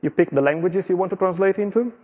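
Italian man, middle-aged, husky and a bit high, walks an audience through product steps on stage. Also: quick pace. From Italy. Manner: explanatory, steady walkthrough with small slips and fillers. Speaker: conference presenter. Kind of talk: tech conference presentation. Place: conference stage.

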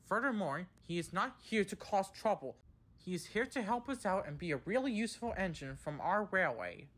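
A man's voice: high-pitched, lively intonation, moderate pace, fairly bright tone, pitched above the performer's own voice. A man voicing a firm, scolding railway controller with a formal, authoritative manner in a children's story. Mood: stern. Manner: stern, formal.

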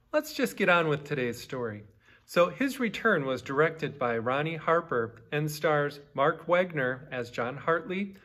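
A man's voice: fairly high pitch, a bit bright. A middle-aged man presenting a theatrical comic introduction in a hammy, playful way. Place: small furnished room indoors.

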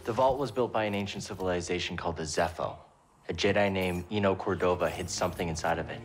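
A man's voice: low voice, quick pace, soft background music, natural voice. A young man playing an earnest, determined hero in a cinematic video game, speaking plainly and directly. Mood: informative. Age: young adult.

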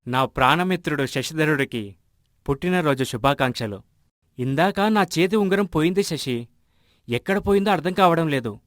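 Earnest village husband, slightly high voice, a man acting. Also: lively intonation, moderate pace, fairly bright tone, dry recording, clean studio sound. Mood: friendly. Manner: earnest, explanatory. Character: earnest, generous village husband missing a ring. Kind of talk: animated moral story dialogue.

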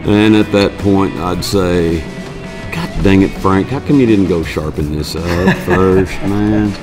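Deep-voiced folksy welding teacher. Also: male voice, steady tone, slow. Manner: folksy, plainspoken, thinking aloud while working. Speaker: welding instructor. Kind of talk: hands-on shop demonstration. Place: large metal workshop. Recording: music bed under the voice.

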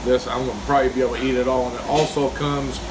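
A man's voice: mid-range voice, level tone, very fast, noisy background. A man, chatting casually as he reviews diner food while eating. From Kentucky, US. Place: small diner, indoors. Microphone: phone camera across the table.

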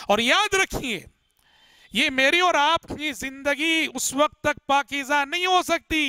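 Middle-aged male lecturer, very high bright voice, smooth and resonant, slow recited prayers, emphatic repetition. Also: dry recording. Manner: recites Arabic prayers slowly, then explains with emphatic repetition. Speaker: Islamic lecturer. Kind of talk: religious lecture explaining prayers. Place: indoor room with curtained window. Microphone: headset mic.

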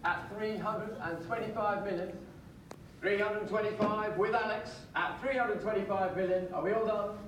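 A man auctioneer calling bids live in a sale room, brisk and repetitive, with light jokes between bids. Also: high-pitched, steady tone, fairly bright tone, slight background noise. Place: large auction sale room, heard from the audience. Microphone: far phone camera in the crowd. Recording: distant echoey sale room from a phone in the crowd.

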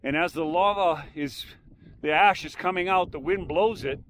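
An older man narrating a hike outdoors on a windy volcanic ridge, calmly explaining the landmarks around him. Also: sits high. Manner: unhurried, explanatory, pointing out landmarks. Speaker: hiking vlogger. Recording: faint wind noise.

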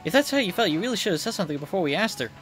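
A man's voice: high-pitched, very animated, rapid-fire, fairly bright tone. A haughty lady vampire voiced by a man delivers game lines in a prim, disdainful manner. There is faint music behind. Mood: disapproving. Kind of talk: video game dialogue read aloud.

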